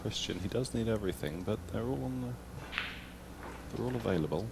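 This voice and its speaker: An English man doing snooker co-commentary in a low-key, measured way. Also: animated, gravelly, background noise. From England.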